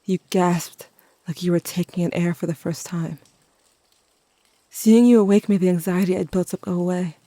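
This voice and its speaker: A performer as a grieving teenager telling their story in an audio drama. The voice is mellow and mid-pitched, intimate and dramatic with wry asides. It is recorded close and dry. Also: fairly even intonation, moderate pace, no room sound, lightly acted. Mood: reflective. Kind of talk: first-person audio drama narration.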